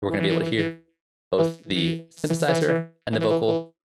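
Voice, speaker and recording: A man's voice: on the low side, expressive, a little fast, over loud music, rough recording. A man speaking as a robot through a vocoder, his words turned into synthesized notes in a plain demonstration. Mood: flat demonstrative. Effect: vocoder robot filter on synth notes.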